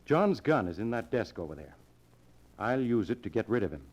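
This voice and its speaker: An actor playing a cold, controlling younger man who plots murder, in the deliberate, insistent style of 1940s radio drama. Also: on the low side, very animated, darkish tone. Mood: coolly scheming. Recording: dull old radio broadcast recording.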